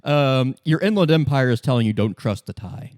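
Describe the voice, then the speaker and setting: A man running a tabletop game as game master, narrating off the cuff in a halting, informal and wry way. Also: medium pitch, animated, a little mellow, no echo, clean studio sound.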